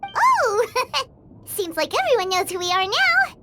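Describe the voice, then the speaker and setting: Tiny game fairy sidekick, squeaky, extremely high, wildly swooping pitch, excitable. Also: female voice, measured pace, loud music behind the voice, heavily acted. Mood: cheerful. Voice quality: squeaky, childlike. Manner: speaks of herself in third person, exclamatory. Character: small floating childlike fairy companion, chirpy and excitable. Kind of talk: video game voice acting.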